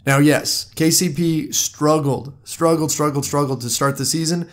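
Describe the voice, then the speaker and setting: A man hosting a solo podcast, talking straight to his listeners in a conversational way, hoarse from a cold. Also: a little high, steady tone, moderate pace. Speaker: podcast host. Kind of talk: solo sports podcast monologue.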